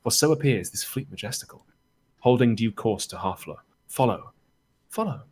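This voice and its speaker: A man's voice: medium pitch, animated, normal speed, a little mellow, breathy edge. A man reading a theatrical, exhortatory stage chorus who narrates a war in Shakespearean verse. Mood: urgent, rousing.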